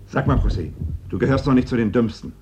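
A man's voice: medium pitch, fast talker, natural voice. A man playing a blunt, wily middle-aged uncle in a German radio play. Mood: urgent, conspiratorial. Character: blunt, wily uncle seeking hidden rifles. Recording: noisy old radio play recording.

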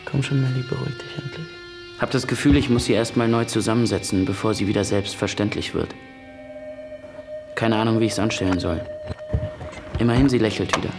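A man's voice: lowish voice, fairly quick, vocal fry, long pauses. An actor playing a casual, teasing, slightly wounded man in love in a radio play, speaking in clipped colloquial phrases. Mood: frustrated, tender. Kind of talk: radio play acting with intimate monologue. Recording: music behind the voice.

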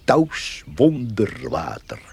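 Radio play: blunt, folksy male villager, lowish and very expressive, slight background noise. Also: drawn-out words, vocal fry, exaggerated voice. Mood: folksy, proud. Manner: folksy, talks to himself. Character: countryman called the fox, chatty, blunt, meddlesome. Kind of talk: Dutch radio drama acting. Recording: noisy radio drama recording.

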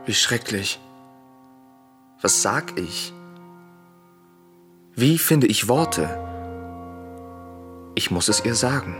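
Casting: secretive exiled prince and protective brother, low, grave, solemn delivery for radio tragedy, music underneath. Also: male voice, expressive, very slow, pauses often, fairly bright tone, heavily acted. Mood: shaken pity. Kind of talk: solemn radio drama acting of verse tragedy.

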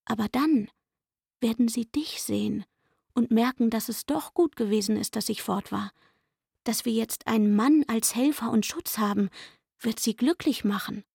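An actress playing a pleading young chambermaid in a radio play, speaking intimately and wistfully. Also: female voice, a little high, moderate pace, creaky, a little mellow, slightly rough, dry recording, clean studio sound. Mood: wistful, hopeful. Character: pleading young chambermaid luring a man into secret shelter. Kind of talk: literary radio play acting.

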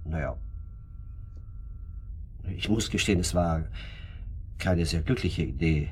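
Husky, pompous, evasive husband. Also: male voice, low voice, takes it slowly, full character voice. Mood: awkward self-justifying. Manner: stilted, circumlocutory. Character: pompous, evasive husband, formally polite and wordy. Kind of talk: radio drama acting.

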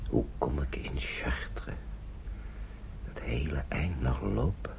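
An actor playing a weary, worried guardian of refugee children in a radio play, thinking aloud in a halting, breathy, gravelly murmur. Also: male voice, a bass voice, in a whisper, exaggerated voice. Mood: worried, brooding. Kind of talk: radio play acting, murmured inner monologue. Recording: dull old radio play recording, faint noise.

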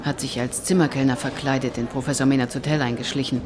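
An actor playing a brisk, matter-of-fact police inspector in a crime radio play. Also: medium pitch, level tone, a crowd in the background, close to an everyday voice. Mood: businesslike. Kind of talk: radio drama acting.

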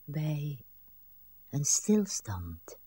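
An actress playing a weary, wistful old woman in a literary radio drama, speaking haltingly and pensively, with sighs. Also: low-pitched, very animated, mellow, husky, no echo, heavily acted. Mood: weary, wry. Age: older. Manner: slow, halting, pensive delivery with drawn-out sighs. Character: weary, wistful, brooding old Irish countrywoman, a wife.

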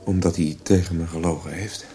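A brooding young male fugitive in a radio play. He talks quickly in a dark, low voice over music. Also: fairly even intonation, breathy edge. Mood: bitter, subdued. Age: young adult. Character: brooding young fugitive son who killed his father. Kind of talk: radio drama acting.

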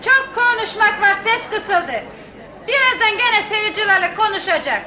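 A woman's voice: very high-pitched, moderate pace, pushed and bright. An actress in a radio play voicing a bossy foreign woman guarding a door, curt and speaking in broken grammar. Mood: bossy, shrill. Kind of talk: radio theatre acting. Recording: crowd chatter and faint noise behind.

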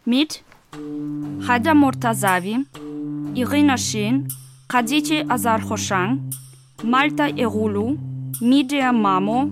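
A woman announcer reading out the credits of a radio production in a slow, measured way. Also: a little high. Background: music.

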